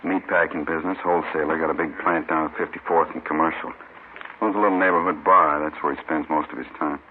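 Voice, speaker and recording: An actor playing a world-weary, slangy street informant, offhand and laconic, in a mid-century radio crime drama. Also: male voice, rumbling low, fairly even intonation, fast talker. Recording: muffled, faintly noisy old radio recording.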